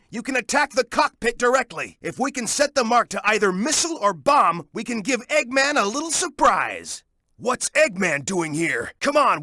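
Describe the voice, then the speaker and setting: An American man voicing a young, hot-headed male echidna hero in a video game, with a gruff, brash and commanding delivery of hints and battle callouts. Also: very high voice, sharp tone, no echo, studio quality, exaggerated voice. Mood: tactical, focused, then urgent, forceful.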